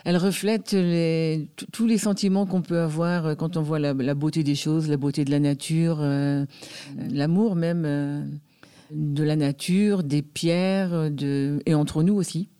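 A man's voice: a little high, steady tone, unhurried, warm tone, clear, resonant tone. A man answering interview questions about a neighbourhood scheme, hesitating often with fillers. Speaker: local committee member.

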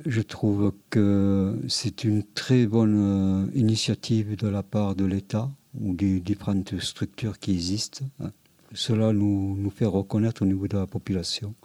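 A man answering an interview question, speaking haltingly and repeating his words. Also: fairly low pitch, level tone, warm tone, dry recording. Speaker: committee member.

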